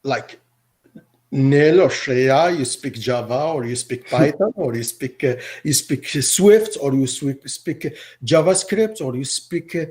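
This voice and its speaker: A middle-aged man from Morocco whose first language is Arabic, answering interview questions in a halting way, often repeating words and restarting. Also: mid-range voice, expressive, darkish tone. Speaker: teacher.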